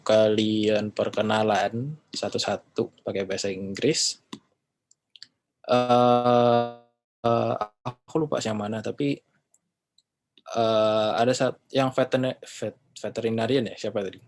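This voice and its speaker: Rambling, chatty lower-voiced man. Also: fairly even intonation, measured pace. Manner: rambling, self-correcting, chatty fillers. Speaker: English class speaker. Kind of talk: casual online class talk.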